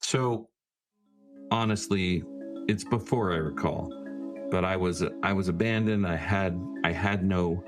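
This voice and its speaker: A man playing a tabletop roleplaying game, speaking informally and haltingly as he improvises. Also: sits low, music in the background.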